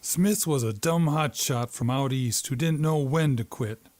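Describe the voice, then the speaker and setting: A man's voice: medium pitch, unhurried, darkish tone, natural voice. An actor playing a menacing, arrogant gangster boss in a 1950s noir radio drama, with a sneering, threatening tough-guy delivery.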